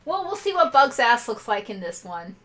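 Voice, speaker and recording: A woman co-hosting a retro game stream, excitable and swearing freely. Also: a little high, moderate pace. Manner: excitable, swearing, laughing asides. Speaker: stream co-host. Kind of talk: casual game stream banter.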